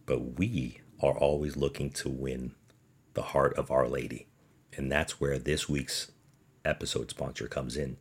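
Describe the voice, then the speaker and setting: A man with a deep voice hosts a sports podcast on his own. He speaks emphatically and with sarcasm, pushing his opinions through pointed rhetorical questions. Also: level tone, unhurried, vocal fry, breathy edge. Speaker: podcast host.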